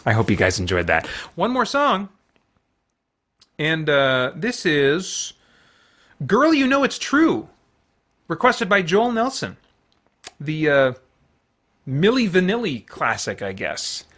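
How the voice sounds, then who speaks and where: A man's voice: fairly high pitch, huge pitch swings, takes it slowly, pauses often, a bit bright. A man chatting casually as a livestream host, in a rambling, self-deprecating way.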